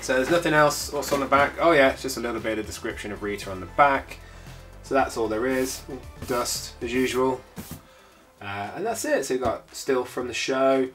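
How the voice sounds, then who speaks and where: A man's voice: medium pitch, animated, normal speed, a bit bright, faint music behind. A man with a congested, bunged-up voice, chatting casually as he unboxes a collectible. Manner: chatty, rambling, with frequent filler sounds. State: stuffy, congested from a cold. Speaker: toy collector channel host.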